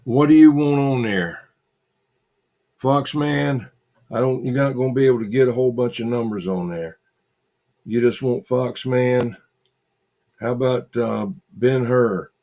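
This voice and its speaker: Slow-talking man chatting casually on a livestream. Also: medium pitch, mellow. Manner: halting, repetitive, muttering asides to himself. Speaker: livestream host. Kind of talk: casual livestream chat with viewers.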